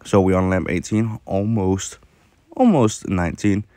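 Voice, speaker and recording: A man with a sore, hoarse throat, rambling through casual commentary while playing a mobile game, with lots of fillers and restarts. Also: sits low, unhurried, mellow, no echo.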